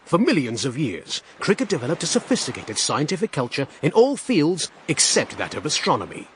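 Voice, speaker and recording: A man's voice: a little high, wildly swooping pitch, fairly quick, a little hiss or hum, pitched above the performer's own voice. A man voicing grand narrating text in the sky in an audiobook, declaiming each phrase. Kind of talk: audiobook reading.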